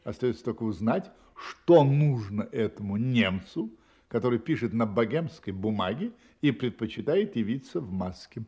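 An actor playing a shrewd, self-assured gentleman detective in a Russian audio play, brisk and theatrically confident. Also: medium pitch, lively intonation, breathy edge. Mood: confident, deductive.

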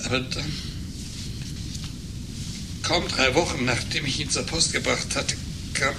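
Guy doing bitter failed writer in a radio play, slow and halting, accusing, noisy old recording. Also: medium pitch, fairly even intonation, natural voice. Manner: halting, emotionally wrought, accusing. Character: embittered, agitated failed writer confronting his betrayer. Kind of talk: German radio play acting.

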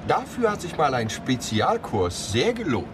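An actor playing a laconic, terse investigator in a radio drama. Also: male voice, a little high, wildly swooping pitch, moderate pace. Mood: wry. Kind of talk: German radio drama acting. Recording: noisy car scene with skidding.

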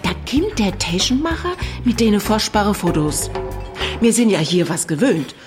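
An actress playing a chatty, loyal police secretary in a radio crime drama, gossipy and confiding in manner. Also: female voice, mid-range voice, very animated, moderate pace, music in the background, lightly acted. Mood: indignant.

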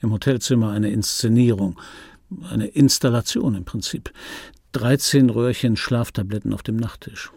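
Gravelly, low-key wry dubbing veteran. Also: male voice, normal speed, darkish tone, no echo. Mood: sardonic, confiding. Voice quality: gravelly, husky. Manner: dry, ironic, pointed phrasing with repetitions. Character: wry, self-important male German film dubbing actor recounting his life. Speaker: narrator of a radio play. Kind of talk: intimate radio drama monologue.

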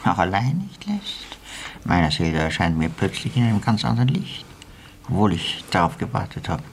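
A man's voice: deep voice, very animated, moderate pace, vocal fry, slight background noise, heavily acted. A man acting in a radio play as an aging, philosophical, needling comrade who muses and repeats his phrases. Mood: musing.

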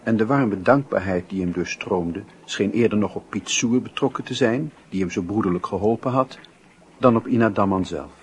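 A man narrating a literary radio drama in a measured, solemn storytelling manner. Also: lowish voice, close to an everyday voice. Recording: noisy old radio drama recording.

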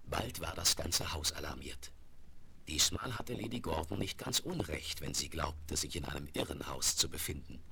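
A low-voiced composed storyteller with a gravelly creak, narrating a children's audio drama. It comes from a noisy old vinyl record with clicks. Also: male voice, expressive, normal speed, heavily acted.

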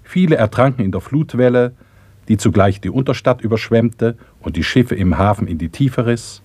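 A man narrating a radio drama in a measured, factual way. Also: medium pitch, lively intonation, darkish tone, husky, no echo.

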